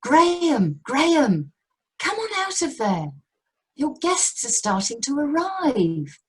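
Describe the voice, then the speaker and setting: A woman acting a brisk, fussing British chairman's wife in an amateur radio play, wry and a little bossy. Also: high voice, very animated, full character voice. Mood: flustered. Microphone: video call.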